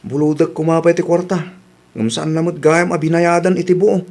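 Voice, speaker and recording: A man's voice: on the high side, steady tone, normal speed, darkish tone. A man playing a grumbling, money-minded village husband in a radio comedy drama, questioning and nagging.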